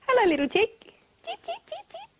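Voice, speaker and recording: A woman's voice: extremely high pitch, wildly swooping pitch, normal speed, fairly bright tone, lo-fi sound, voice pushed up, exaggerated voice. A woman voicing a tiny, eager young male chick in a children's story, chirping and exclaiming in short bursts. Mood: cheerful chirping.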